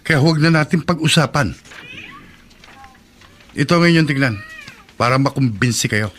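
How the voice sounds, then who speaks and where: A man's voice: medium pitch, normal speed, a bit bright, lightly acted. An actor playing a wealthy politician and father, firm and commanding, in a radio drama. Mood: steady.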